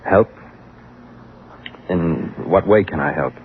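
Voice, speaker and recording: Romantic lead, low-pitched, plain-spoken, understated, old radio play, phone-like faintly noisy audio. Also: male voice, takes it slowly. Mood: guarded, curious. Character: earnest male romantic lead who narrates his own story. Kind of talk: old-time radio drama acting and narration. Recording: tinny, noisy vintage radio recording.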